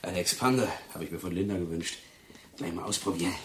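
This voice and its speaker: An actor playing a nosy, chummy prison cellmate with blunt, colloquial speech in a radio drama. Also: male voice, sits low, fast talker, fairly bright tone, slight background noise.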